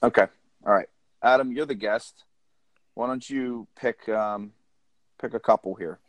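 A man hosting a casual podcast, steering the conversation in a relaxed way. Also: medium pitch, measured pace, no room sound. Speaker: podcast host.

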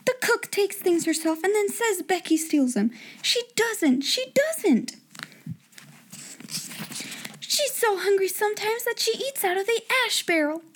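A woman voicing a spirited young girl who talks in a hushed, whispery way. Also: very high voice, big pitch swings, normal speed, pitched above the performer's own voice. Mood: indignant.

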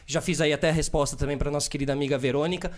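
A man's voice: a little high, steady tone, racing. A Brazilian man hosting a casual podcast interview, chatty and upbeat. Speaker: podcast host.

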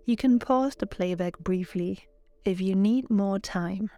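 A woman narrating a guided relaxation meditation in a calm, soothing, measured way, as a yoga nidra guide. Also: medium pitch, no echo. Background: background music.

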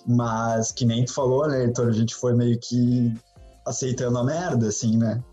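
Mellow man in slightly muffled audio. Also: mid-range voice, moderate pace, soft background music. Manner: relaxed, rambling storytelling with fillers. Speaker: podcast guest. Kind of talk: casual chat.

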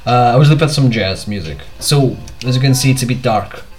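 Man, mid-pitched, halting solo vlog, faint music behind. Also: darkish tone. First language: Portuguese. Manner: hesitant, many fillers and restarts. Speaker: podcast host.

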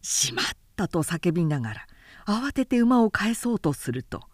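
A woman who works as a narrator reads a literary tale dramatically, in a measured, carefully articulated way. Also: medium pitch, sing-song, a little mellow, no echo, clean studio sound. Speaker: professional narrator.

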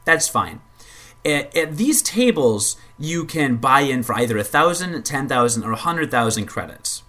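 A man in the middle of the usual pitch range talks through a game in relaxed podcast chat. He rambles and stumbles into repeated words, and swears when something annoys him. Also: a little slow. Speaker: podcast co-host. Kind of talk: casual gaming chat.

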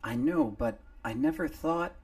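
A man voicing an older, guilt-ridden male gangster with a gruff, confrontational way of talking. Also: mid-range voice, measured pace, a little mellow, pitched above the performer's own voice, lightly acted. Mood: tense.

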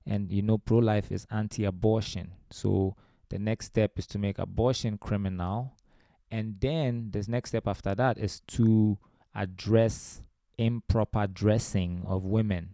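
A man's voice: lowish voice, fairly even intonation, unhurried, warm tone, no echo, clean studio sound. A man with a Ghanaian accent, a musician telling anecdotes in a discursive way as an interview guest. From Ghana, born in Romania. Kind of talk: conversational interview storytelling.

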